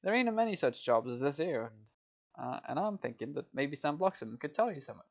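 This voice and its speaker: A man's voice: fairly high pitch, sing-song, fairly quick. A man voicing an affable working-class London workman with a put-on Cockney accent. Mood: chatty.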